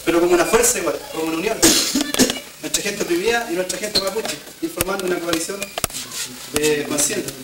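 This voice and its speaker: A man's voice: sits high, far from the mic. A young Chilean man leading a workshop in a community hall, earnestly asking questions of the group. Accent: Chilean Spanish. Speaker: workshop facilitator. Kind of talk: reflective group talk. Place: wood-panelled community hall indoors. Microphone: camcorder mic from across the room. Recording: echoey hiss-filled 1990s camcorder tape.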